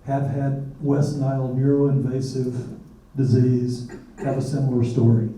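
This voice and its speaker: A raspy-voiced man in his late sixties speaks to a room, flat and dark in tone, pausing often on um and uh. He is heard from some distance in a very echoey hall. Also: medium pitch. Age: 60s. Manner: halting, pauses with um and uh. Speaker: guest speaker sharing his illness story. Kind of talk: public talk at a community forum. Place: lecture hall. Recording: echoey hall, mic far off.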